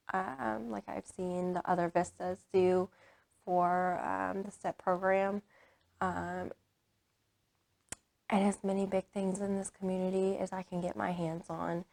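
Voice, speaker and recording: A young woman from Kansas speaks openly about her service work in a casual interview. Her voice sits low and stays fairly flat. She fills her sentences with "um" and "like" as she talks. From Kansas, United States. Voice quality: many filler words and "like" restarts. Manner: earnest and candid. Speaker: AmeriCorps VISTA member.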